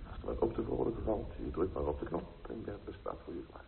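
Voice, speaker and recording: An actor playing a dry, teasing, devoted husband with a low, gravelly voice in a low-key radio drama. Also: male voice, expressive, fast. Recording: muffled phone-like old radio, noisy.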